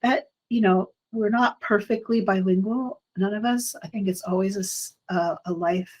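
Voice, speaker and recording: A middle-aged woman, a school administrator, talking parents through slides at an orientation, pausing often with ums and restarts. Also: mid-range voice. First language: English. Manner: halting, many ums and restarts.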